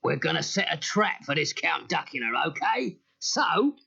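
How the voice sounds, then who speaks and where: An actor playing a bullying, exasperated villainous gang boss who snarls in rough grammar, in a children's cartoon audio story. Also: mid-range voice, sing-song, moderate pace, bright, forceful tone, full character voice. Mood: snarling. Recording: muffled old audio cassette.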